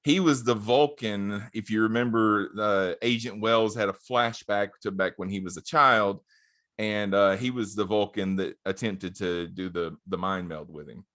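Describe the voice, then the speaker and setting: A man's voice: lowish voice, normal speed, a bit bright, dry recording, studio quality. A man hosting a podcast, interviewing a guest with many short acknowledgements. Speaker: podcast host.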